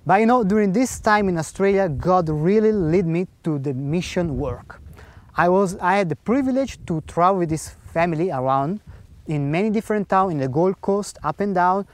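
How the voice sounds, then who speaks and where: A man's voice: high voice, expressive, moderate pace. Earnest non-native man telling his story. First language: not English. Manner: earnest storyteller who acts out the dialogue, in simple non-native grammar. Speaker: speaker giving a personal testimony. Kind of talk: personal faith testimony storytelling.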